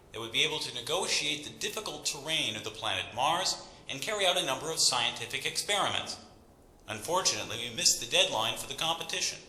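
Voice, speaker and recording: A young graduate student addresses an event in a radio play. He is awkward yet proud, with a crisp, very bright tone and a long echoing hall reverb. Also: fairly high pitch. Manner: hesitant, wry public speaking. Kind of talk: radio drama acting as an event speech. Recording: reverberant hall PA, faint noise.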